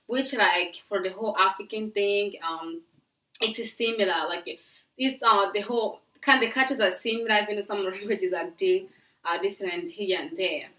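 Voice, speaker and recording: A woman's voice: mid-range voice, normal speed, bright, forceful tone, distant mic. A young woman from Tanzania, talking casually and sassily to her viewers, with lots of fillers and laughs, recorded on a camera mic in an indoor room. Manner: sassy, chatty, frequent fillers and laughs. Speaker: vlogger. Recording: echoey room, mic set back.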